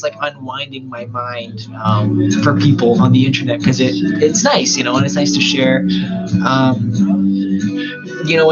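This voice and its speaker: Rambling Canadian-born man, lo-fi stream. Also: mid-range voice. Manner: musing, swears casually, many fillers. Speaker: live streamer. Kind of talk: rambling casual monologue.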